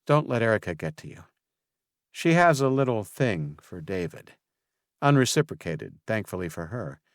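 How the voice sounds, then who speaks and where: A man's voice: on the low side, very animated, normal speed, no echo, studio quality. A man voicing a poised, gracious woman mayor who speaks politely. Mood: friendly.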